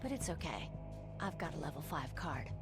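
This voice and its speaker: Earnest young rookie soldier. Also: female voice, deep voice, big pitch swings, moderate pace. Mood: earnest, defiant. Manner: earnest, dramatic. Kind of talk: dramatic video game voice acting. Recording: game audio under background music.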